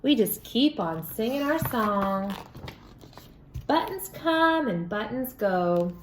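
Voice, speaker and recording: High-voiced woman reading slowly to children. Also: reverberant, noisy background. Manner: expressive, sing-song, addresses children with questions. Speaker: children's story reader. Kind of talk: read-aloud for young children with sung refrains.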